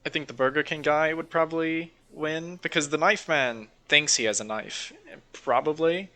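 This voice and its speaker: A man's voice: fairly high pitch, moderate pace, bright, forceful tone. A man co-hosting a podcast, casually bantering and reading out odd news stories in an informal, sweary way. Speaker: podcast co-host.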